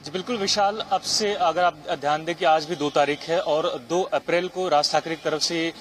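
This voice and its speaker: A male field reporter speaks in a high-pitched voice. He gives a live, unscripted report, with faint outdoor traffic noise around him. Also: fairly even intonation, normal speed, a bit bright.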